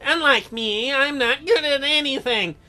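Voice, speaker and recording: A woman's voice: sits high, a little slow, sharp tone, soft background music. A woman voicing a whiny, self-pitying teenage boy in a video game, dejected and moping. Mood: mopey.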